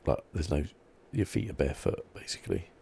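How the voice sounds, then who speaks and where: A man giving relaxed, soft-spoken, low-key ASMR commentary as he plays a video game, close to the mic. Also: fairly quick, gravelly, slight background noise. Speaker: gaming ASMR host.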